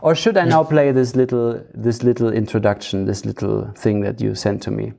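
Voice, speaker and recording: Guy hosting a podcast, creaky soft mellow voice, halting, feels around for words. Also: on the low side, steady tone. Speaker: podcast host. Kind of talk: casual conversational podcast talk.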